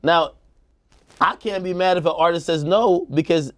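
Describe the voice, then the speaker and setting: A man's voice: on the high side, normal speed, fairly bright tone, dry recording. A man co-hosting a music news show, talking in a casual, slangy way as he thinks aloud. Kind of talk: casual panel discussion, slangy commentary.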